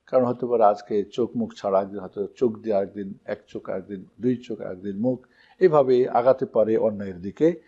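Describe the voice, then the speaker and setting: A man, an Islamic scholar, answers religious questions in a didactic, emphatic way with deliberately stressed phrases and a husky voice. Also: lowish voice, warm tone. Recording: muffled, dull lo-fi recording.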